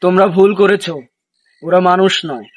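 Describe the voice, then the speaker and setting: A man playing a village imam who speaks slowly and sternly in a horror drama. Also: high-pitched, fairly even intonation, close to an everyday voice.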